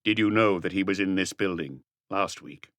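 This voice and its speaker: A man's voice: low voice, moderate pace, no echo, lightly acted. A man voicing a composed, probing police detective who asks terse, persistent questions in an audiobook. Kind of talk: audiobook character dialogue.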